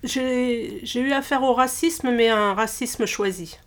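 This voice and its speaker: A woman in her fifties, originally from Portugal, talks haltingly in a casual interview, with frequent pauses and restarts. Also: on the high side. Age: 50s. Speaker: interviewee, childminder.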